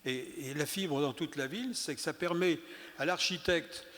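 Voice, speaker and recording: A man addresses a conference panel, pressing his points with emphasis and stumbling through frequent restarts. His voice sits a little high for a man, and it rings out with the long reverb of a large hall. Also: moderate pace, a bit bright. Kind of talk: round-table speech.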